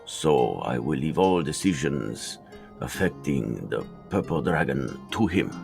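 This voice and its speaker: A man acts out an aged rat ninja master in a motion comic. The voice is low and grave, with formal, measured authority. Prominent music plays underneath. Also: lively intonation, gravelly, darkish tone, heavily acted. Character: old male mutant rat ninja master and father. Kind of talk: motion comic fan dub acting.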